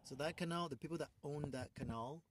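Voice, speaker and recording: A man's voice: on the high side, fairly quick, background noise. A man with an accent, co-hosting a casual podcast chat, rambling and restarting his sentences. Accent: non-native English accent. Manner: rambling, restarts often, laughs loudly. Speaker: podcast co-host.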